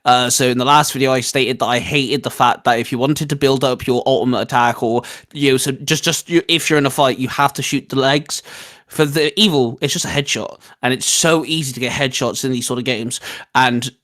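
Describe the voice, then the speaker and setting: A man chatting casually and giving emphatic opinions as a co-host, with halting, repetitive phrasing. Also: medium pitch, fairly even intonation, a bit bright. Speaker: co-host ranking video game powers.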